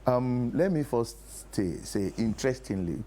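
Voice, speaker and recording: A man's voice: medium pitch, animated, a little slow, mellow. A middle-aged or older Nigerian man, a play producer, explains his points in a TV interview, repeating key phrases for emphasis. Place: TV studio talk show. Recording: clear background noise.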